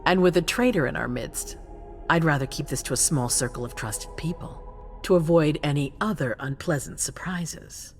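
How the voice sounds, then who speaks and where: A woman's voice: sits low, moderate pace, a little mellow. A female vampire ruler, grave, formal, authoritative, resolute, video game drama, music behind.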